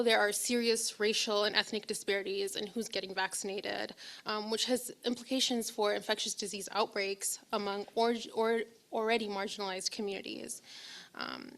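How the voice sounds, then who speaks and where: A woman's voice: mid-range voice, gravelly, a bit bright. Earnest young woman researcher on a panel. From Ethiopia. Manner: earnest, many fillers and restarts. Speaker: public health researcher on a panel. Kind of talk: panel talk.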